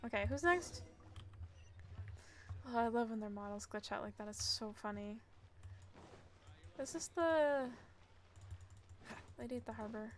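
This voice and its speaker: A woman's voice: on the high side, animated, measured pace, pauses often. A woman game streamer giving casual, giggly live commentary with murmured asides. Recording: home stream with background noise.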